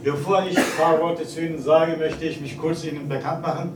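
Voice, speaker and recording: A man in his forties from Turkey with a Turkish accent, giving a formal speech with halting hesitations and fillers. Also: fairly high pitch, steady tone. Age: 40s. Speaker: consulate representative giving a speech. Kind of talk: formal speech at an exhibition opening. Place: event hall. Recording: echoey hall, distant, noisy.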